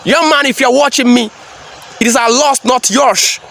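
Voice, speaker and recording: High-pitched emphatic male commentator. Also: moderate pace, creaky, sharp tone. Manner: emphatic, preachy, repeats phrases for effect. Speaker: commentary vlogger. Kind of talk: reaction commentary monologue.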